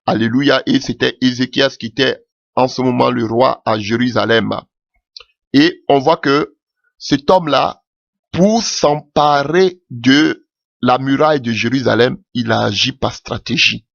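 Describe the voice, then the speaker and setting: A man's voice: unhurried, gravelly, dry recording, lo-fi sound. A man preaching a sermon with passion, calling out alleluia often as his voice rises. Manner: impassioned, rising pitch with frequent alleluias.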